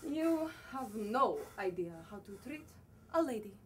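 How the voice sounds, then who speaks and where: A woman acting out a prim, indignant lady in a theatrical comedy. Her voice is high and sweeps up and down with offended emphasis. It is picked up by a distant stage microphone. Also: expressive, unhurried, a little hiss or hum. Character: indignant, haughty, prim lady scolding a boor. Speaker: student stage actress. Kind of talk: theatrical stage acting of a comic one-act play.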